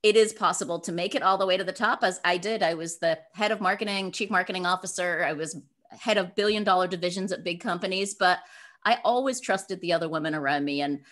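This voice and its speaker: A woman's voice: on the low side, quick pace. Middle-aged woman, chatty interview guest. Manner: animated, acts out imagined quotes. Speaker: guest author. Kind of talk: conversational interview answers.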